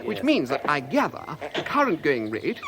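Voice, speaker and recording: An actor playing a pompous, blithely absurd bureaucrat in a comic science fiction radio drama, hesitant and smugly reasonable. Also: male voice, on the high side, wildly swooping pitch, moderate pace, background noise. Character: pompous, blithely absurd male bureaucrat explaining crazy policy.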